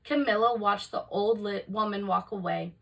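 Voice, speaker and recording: A woman narrating a children's picture book aloud in an expressive, scripted read-aloud style, picked up from slightly off the microphone. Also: mid-range voice, normal speed, creaky, far from the mic. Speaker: storybook reader for remote learning.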